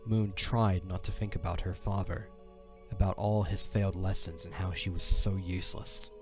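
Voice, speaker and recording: A man's voice: low-pitched, fairly even intonation, moderate pace. A man narrating an audio drama from a script as the storyteller. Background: soft music.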